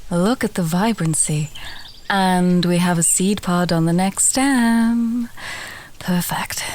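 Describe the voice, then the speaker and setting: A woman playing a friendly, teasing village alchemist in a fantasy audio roleplay, talking gently and conversationally to the listener. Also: lowish voice, takes it slowly. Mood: delighted, instructive. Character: friendly female village alchemist, warm, teasing, earthy, encouraging.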